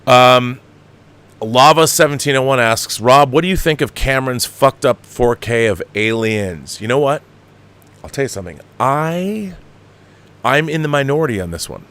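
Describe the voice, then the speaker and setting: A man's voice: mid-range voice, lively intonation, normal speed, a bit bright, clear, resonant tone. An American man in his fifties hosting an opinionated film commentary show, emphatic and exasperated, often repeating himself for emphasis. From United States. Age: 50s. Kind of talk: opinionated film commentary, reading viewer questions.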